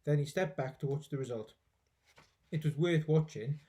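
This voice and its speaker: A man's voice: fairly high pitch, moderate pace, mellow. A man reading a children's storybook aloud expressively, with dramatic emphasis, like a teacher.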